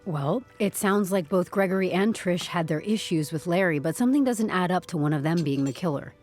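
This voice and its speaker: A woman's voice: on the low side, animated, a little fast, darkish tone, soft background music, natural voice. An actress playing a wry, uneasy woman from HR turned amateur sleuth in an audio drama, with an awkwardly breezy manner. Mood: wry, probing. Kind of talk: podcast audio drama acting.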